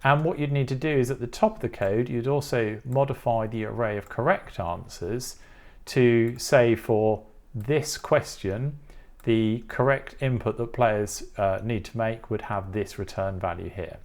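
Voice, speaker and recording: A man's voice: mid-range voice, a little slow. A man hosting an electronics tutorial, narrating each step in an informal, methodical, explanatory way. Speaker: host of an electronics tutorial channel.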